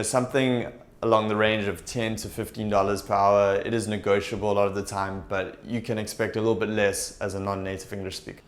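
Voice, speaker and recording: A young man talking straight to camera in a small room, explaining things in a steady, upbeat way. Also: fairly low pitch. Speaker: TEFL recruiter and vlogger. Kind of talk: direct-to-camera advice talk. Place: small indoor room with shelves.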